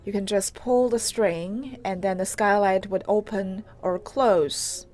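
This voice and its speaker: A woman reporter giving an unscripted live tour on location, in a halting, hesitant way with pauses mid-phrase. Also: medium pitch, steady tone, darkish tone. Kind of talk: unscripted live walk-through narration. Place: on location inside an old house. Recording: clearly noisy on-location live recording.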